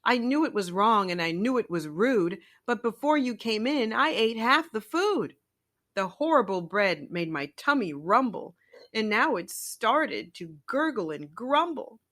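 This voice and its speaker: A woman's voice: fairly high pitch, big pitch swings, unhurried, a bit bright, pitched above the performer's own voice. Woman voicing a whiny, greedy little storybook goat. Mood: guilty. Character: greedy, impatient young male goat, guilty and blurting.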